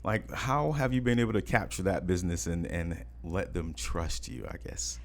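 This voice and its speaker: Very deep-voiced man with swooping intonation. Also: animated, moderate pace. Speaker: podcast host. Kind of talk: casual conversational interviewing.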